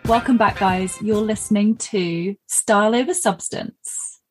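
A young woman co-hosting a podcast in casual chat, with a husky, tired voice, laughing often. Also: medium pitch, a little slow, over music, poor audio. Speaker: podcast co-host.

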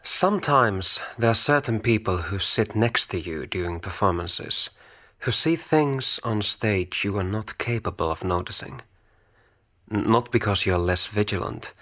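A man reading a scripted, poetic introduction in a measured way, dropping some phrases to a whisper. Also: fairly low pitch, a bit bright.

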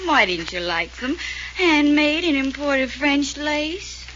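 A woman's voice: high voice, animated, takes it slowly, fairly bright tone, full character voice. A giggly tipsy young woman. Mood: coy. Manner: giggly, teasing, sing-song. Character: giddy tipsy young woman, coy and secretive. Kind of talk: 1940s radio acting. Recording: dull old radio transcription with faint hiss.

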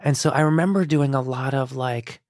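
A man's voice: medium pitch, normal speed, a little mellow, no echo, studio quality. A man who grew up in Iran and speaks Persian as his first language, telling his story as an interview guest in a rambling, candid way, full of restarts and fillers. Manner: rambling, many restarts and fillers, laughs easily.

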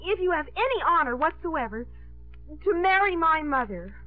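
High-voiced, melodramatic girl. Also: sing-song, normal speed. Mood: martyred, indignant. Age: young adult. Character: melodramatic, indignant, self-sacrificing teenage daughter. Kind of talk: 1950s radio comedy-drama acting. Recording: tinny vintage radio broadcast, faint music.

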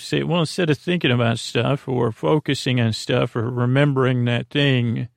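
A man with a creaky, gravelly voice speaks into a close, dry microphone. He hosts a bedtime podcast in a rambling monologue, stammering and doubling back on his phrases. His manner is gently reassuring, and his pitch sits in an ordinary middle range for a man. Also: no room sound. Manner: halting, meandering, stammering and circling back on phrases. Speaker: podcast host.

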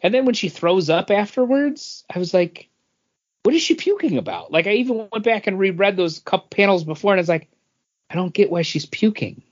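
A man's voice: high voice, lively intonation, a little fast. A man co-hosting a podcast, casually critiquing a comic and pointing out details patiently. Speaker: podcast co-host. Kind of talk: casual comic review chat. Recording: dull lo-fi podcast audio.

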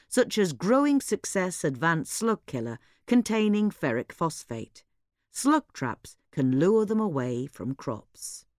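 A woman's voice: fairly low pitch, no echo, clean studio sound. A woman reading a scripted gardening-magazine style article as an informational narrator.

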